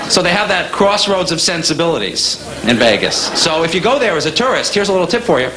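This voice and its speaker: A stand-up comedian with a New York accent works a live room, his voice a touch higher than most men's and swinging widely in pitch. He lands punchy deadpan lines and fires quick asides at the audience. Loud laughter rolls back at him in an echoey club. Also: a crowd in the background.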